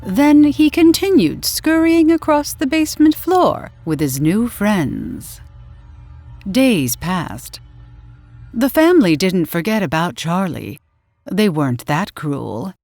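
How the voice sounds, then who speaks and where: A woman narrating in a dramatic, theatrical old-time radio horror style with deliberate delivery. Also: medium pitch, big pitch swings, darkish tone. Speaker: story narrator and channel host. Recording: roomy echo, faint music behind.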